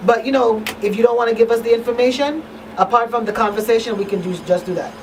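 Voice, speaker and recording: A woman's voice: on the high side, steady tone, fast talker. A woman hosting a radio talk show in a conversational way, emphatic and repeating key phrases. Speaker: radio talk show host and coalition advocate. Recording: distant mic, faint background noise.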